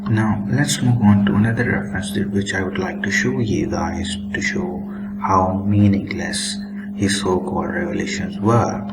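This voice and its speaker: A man giving a critical commentary on book excerpts while showing screenshots, pacing himself deliberately and opening most sentences with "now". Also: low voice, fairly even intonation, gravelly, over loud music, poor audio. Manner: critical, disapproving tone. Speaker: religious commentator.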